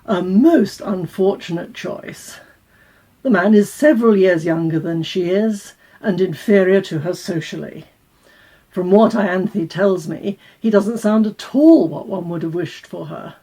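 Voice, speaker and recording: A woman's voice: fairly low pitch, a little slow, dark tone, slightly rough. A woman playing a prim, disapproving, class-conscious older aunt in a dramatized novel reading.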